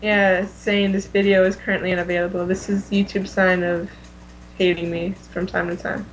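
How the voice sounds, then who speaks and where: A woman's voice: medium pitch, little pitch movement, moderate pace, low-quality recording. Woman chatting on a fan podcast, normal midrange voice, casual, little bit of noise behind her. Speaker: podcast co-host.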